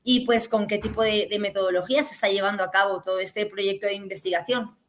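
A woman's voice: mid-range voice, fairly even intonation, fast talker, fairly bright tone, slight background noise. A woman hosting a talk program, upbeat and emphatic, with frequent fillers. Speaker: host.

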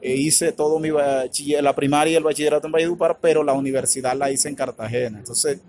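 A man with a Colombian Caribbean accent, answering interview questions in a halting, thoughtful way with many filler words. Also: on the high side, fairly even intonation, darkish tone. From Colombia's Caribbean region. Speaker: author and academic guest. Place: radio booth at a book fair stand.

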